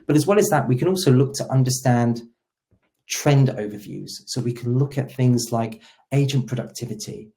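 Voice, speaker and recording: Mellow-voiced man presenting a software demo. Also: mid-range voice.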